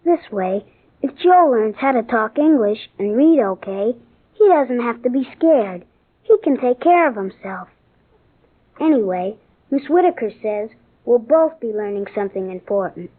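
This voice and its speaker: Husky, high-voiced American schoolboy. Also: female voice, lively intonation, measured pace. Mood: earnest, matter-of-fact. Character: earnest, matter-of-fact schoolboy son, kind-hearted. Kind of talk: old-time radio drama acting. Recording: tinny, band-limited old radio broadcast.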